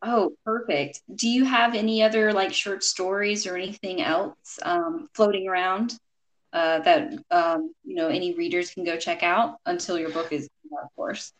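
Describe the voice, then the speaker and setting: A woman's voice: fairly low pitch, fairly even intonation, poor audio. A woman hosting a podcast who interviews her guest in a friendly, encouraging way, often saying "perfect". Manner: friendly and encouraging, quick affirmations. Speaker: podcast host.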